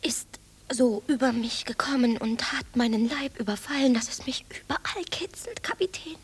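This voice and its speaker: A woman playing a dreamy, flirtatious, devoted island woman with a breathy voice in a German radio play. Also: fairly high pitch, normal speed, slight background noise, lightly acted. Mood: tender, amorous.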